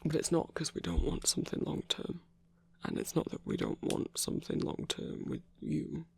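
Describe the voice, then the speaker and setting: A grumpy yet tender werewolf boyfriend, played by a man for an intimate ASMR roleplay. The voice sits a little high for a male but is thick with gravelly creak. It is recorded close and dry, murmuring in halting, sweary phrases. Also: wildly swooping pitch, no echo, heavily acted. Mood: earnest, hesitant. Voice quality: gravelly, husky, breathy. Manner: halting, sweary, hushed rambling with sighs.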